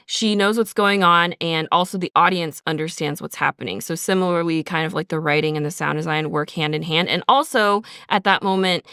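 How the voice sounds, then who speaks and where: A woman's voice: on the low side, fairly bright tone, clean tone, no echo, studio quality. A woman co-hosting a podcast, explaining things in a casual, conversational way and often saying "like". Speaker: audio drama writer, podcast co-host.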